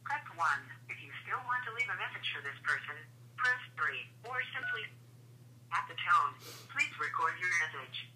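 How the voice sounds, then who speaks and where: A woman's formal, measured automated voice reading scripted voicemail instructions over a telephone. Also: lowish voice, animated, bright, forceful tone, poor audio. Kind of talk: scripted phone system announcement. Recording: telephone recording with faint noise.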